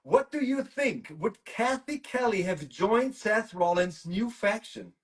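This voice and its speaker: High-voiced, animated male host, enthusiastic reactions. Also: a little slow. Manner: reads fan questions, enthusiastic reactions. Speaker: interviewer host. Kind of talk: casual interview.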